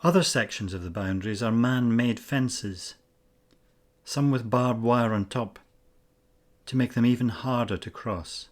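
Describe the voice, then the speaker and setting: A man narrating a scripted guided meditation in a measured, instructional way with pauses between phrases. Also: mid-range voice.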